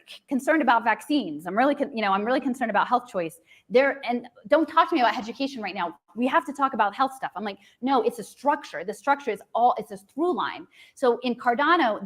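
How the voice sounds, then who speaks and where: Earnest woman explaining at length. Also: fairly high pitch. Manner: earnest, run-on explanations with many restarts. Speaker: guest. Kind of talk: casual explanatory talk.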